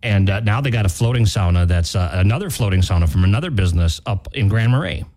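An American man with a fairly low voice hosts a morning radio show. He talks in a casual, rambling way, often backing up to reword what he started to say. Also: lively intonation, fast talker, a little mellow. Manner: rambling, often corrects himself mid-sentence. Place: radio studio.

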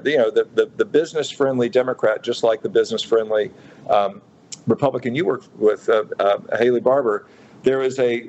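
A man in his fifties from Utah, a restaurateur speaking as a guest in a radio interview, thoughtful and halting with many fillers and restarts. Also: mid-range voice, darkish tone, dull audio, slight background noise. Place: radio studio.

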